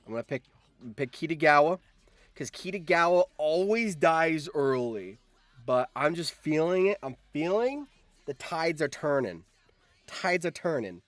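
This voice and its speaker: A man's voice: fairly high pitch, expressive, a little slow, no echo. Man hosting a casual livestream, dry close sound. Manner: repeats phrases, thinking aloud while addressing viewers. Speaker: livestream host. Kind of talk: casual livestream chat with viewers.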